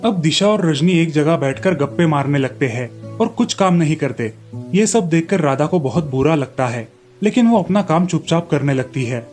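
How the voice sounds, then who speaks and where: Higher-pitched man narrating a children's story. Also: expressive, normal speed, darkish tone, faint music behind.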